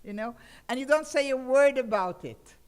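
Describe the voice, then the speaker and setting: A woman's voice: high-pitched, moderate pace, clear, resonant tone. Older Hungarian-accented woman lecturing. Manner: expressive storyteller, often checks in with listeners. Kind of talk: lecture on poetry to a seminar audience. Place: lecture hall with a seated audience. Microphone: table microphone on a stand.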